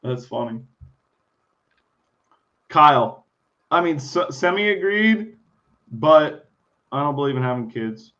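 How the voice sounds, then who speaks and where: A man's voice: a little high, animated, takes it slowly, pauses often, fairly bright tone. A man, co-hosting a casual podcast, blunt, profane and argumentative. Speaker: podcast co-host. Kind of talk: crude casual chat. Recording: slightly dull lo-fi sound.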